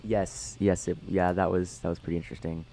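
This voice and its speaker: A young man co-hosting a casual podcast, talking haltingly with many fillers, his voice tired after a concert. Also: sits low, flat delivery, very noisy. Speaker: podcast co-host. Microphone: headphones on, remote call.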